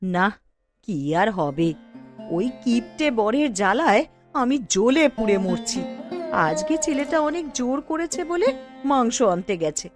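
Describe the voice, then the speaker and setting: A woman's voice: fairly high pitch, sing-song, a little slow, music in the background. A woman voicing a gossipy married village woman in a cartoon, sighing and complaining about home life. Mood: weary complaint. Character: complaining married village woman visiting, fed up with miserly husband. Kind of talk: cartoon voice acting.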